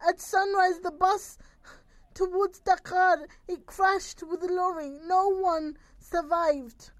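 Actress as very high-voiced sorrowful village woman. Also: fairly even intonation, slow, no room sound. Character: sorrowful village woman bearing bad news. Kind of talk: audio drama acting.

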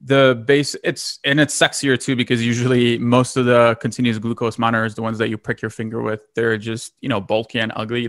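A man's voice: mid-range voice, fairly bright tone, no echo, clean studio sound. A man in his late twenties from Lithuania, telling his story in a casual conversation, rambling with many fillers and restarts. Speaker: guest.

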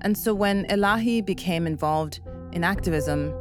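A woman hosting a podcast, reading scripted documentary narration in a measured, earnest way. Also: on the low side, over music.

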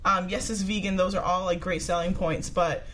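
A woman's voice: lowish voice, steady tone, moderate pace, a bit bright. A woman speaking as a guest in a casual interview, explaining things in an informal, conversational way. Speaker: guest, popsicle business owner.

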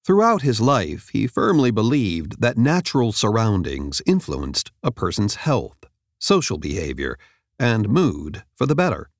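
A man reads a nonfiction audiobook in a measured, clearly enunciated way, close to the microphone. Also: fairly low pitch, huge pitch swings, no room sound. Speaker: audiobook narrator. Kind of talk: scripted nonfiction audiobook narration. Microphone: close studio microphone.

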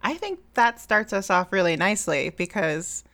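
A woman hosting a podcast, asking measured, friendly questions. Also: mid-range voice, very animated, a bit bright. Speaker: podcast host.

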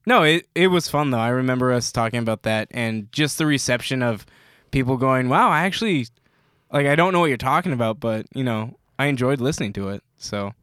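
A man's voice: medium pitch, animated, a little fast, fairly bright tone, smooth, no room sound, clean studio sound. A young man co-hosting a podcast, chatting casually, rambling with restarts and frequent laughs. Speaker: podcast co-host.

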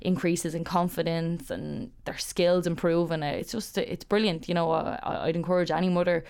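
A woman's voice: fairly low pitch, steady tone, moderate pace, creaky, a little mellow, smooth. A woman martial arts coach, speaking earnestly and encouragingly in an interview clip. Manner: earnest, encouraging, repeats words when starting.

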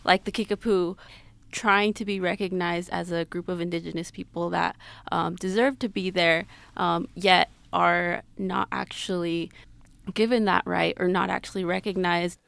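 Woman, twenties, American accent, interview guest, smooth clear tone, very even intonation, reflective personal storytelling. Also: fairly low pitch, normal speed, no room sound. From Mexico-born, grew up in Utah, United States. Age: 20s.

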